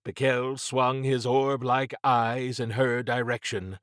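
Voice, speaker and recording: A man's voice: medium pitch, flat delivery, slow pace, a bit bright, no room sound, clean studio sound. A man narrating a scripted horror adventure as an audiobook reader.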